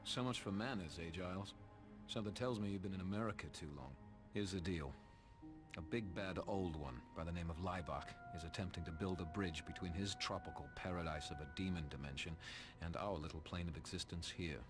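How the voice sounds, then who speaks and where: An actor playing a cynical, cocky English vampire in a video game cutscene, sardonic and full of slang. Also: male voice, low-pitched, fairly quick, music in the background, natural voice. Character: cynical, cocky British male vampire, roguish reluctant ally.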